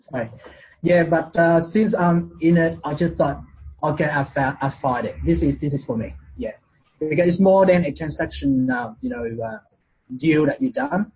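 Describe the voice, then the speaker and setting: A man in his forties with a Vietnamese accent, chatting as a guest in a hesitant way full of fillers. His voice is husky and sits a little high. The recording sounds dull and lo-fi. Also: expressive, moderate pace. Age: 40s. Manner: hesitant, lots of fillers and restarts. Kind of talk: casual interview.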